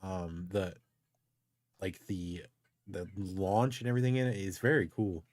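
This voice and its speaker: Low-pitched man in casual chat. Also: steady tone, unhurried, darkish tone, no echo. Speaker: livestream co-host.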